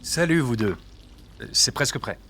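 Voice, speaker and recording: A hospitable middle-aged father, acted by a man in an audio drama. He has a medium-pitched voice and a friendly, welcoming way of talking. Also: expressive, normal speed, loud music behind the voice, lightly acted.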